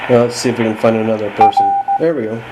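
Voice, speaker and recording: A man's voice: on the low side, fairly even intonation, fast talker, heavy background noise. A man giving a casual, unscripted radio gear walkthrough, thinking aloud in a hesitant, self-deprecating way. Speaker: radio hobbyist presenting a gear demonstration.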